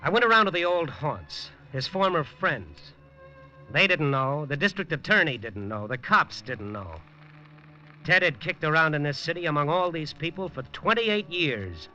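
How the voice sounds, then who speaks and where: A wry hard-boiled reporter. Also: male voice, on the high side, very animated, normal speed, bright, forceful tone, exaggerated voice. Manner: wry, clipped noir delivery. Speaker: narrator. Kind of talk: 1950s radio noir narration. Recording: dull old radio broadcast with music.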